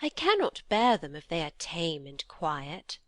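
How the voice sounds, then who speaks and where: A woman reading a story, voicing a sly, flattering young woman with a smooth manner. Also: deep voice, unhurried, no room sound.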